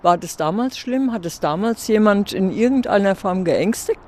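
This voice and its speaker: A woman's voice: medium pitch, lively intonation, moderate pace, mellow. Assertive woman politician asking rhetorical questions. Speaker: local party spokeswoman and candidate. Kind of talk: outdoor interview.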